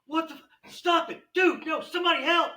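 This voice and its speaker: A man acts out a desperate young guy in a horror drama. He yells in a very high, piercing voice that echoes around the room. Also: steady tone, moderate pace, heavily acted. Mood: panicked. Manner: yelling, desperate. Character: panicked young man under violent attack. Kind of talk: table read of a horror screenplay.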